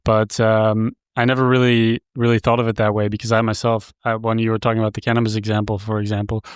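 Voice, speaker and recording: A man from Belgium hosting a podcast, asking questions in a measured, hesitant way and often restarting his sentences. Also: on the low side, little pitch movement, clean tone, no echo, studio quality. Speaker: podcast host. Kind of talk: interview questions.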